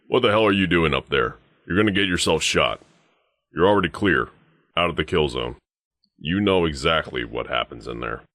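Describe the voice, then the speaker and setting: A man voicing a gruff, menacing and suspicious guard sergeant in a video game, curt, commanding and dryly sardonic. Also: a bass voice, a bit bright, no room sound, clean studio sound. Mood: stern, threatening. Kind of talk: scripted game dialogue.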